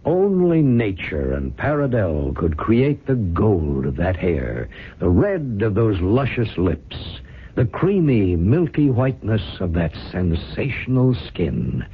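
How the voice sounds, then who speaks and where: A gushing TV commercial announcer in a radio play, savoring phrases slowly with huge swoops of pitch. His voice is husky and grandiose. The sound is muffled and phone-like. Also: huge pitch swings, full character voice. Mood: gushing showmanship. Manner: grandiose, drawn-out salesman delivery. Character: slick, gushing television paint-ad announcer. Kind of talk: 1970s radio drama parody of a TV commercial.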